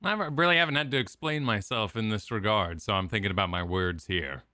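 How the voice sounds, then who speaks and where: A man's voice: on the low side, a little fast, pushed and bright, no room sound, clean studio sound. A man co-hosting a gaming stream, discussing his critical opinions casually and choosing his words with care. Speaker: co-host of a gaming reaction stream.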